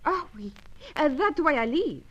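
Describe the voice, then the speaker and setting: A coquettish French cabaret dancer, jealous and melodramatic, in an old radio serial. Her high voice swings expressively through broken English. The recording is dull and lo-fi. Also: big pitch swings, a little slow. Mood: coy, matter-of-fact. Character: flirtatious, jealous foreign cabaret dancer in love.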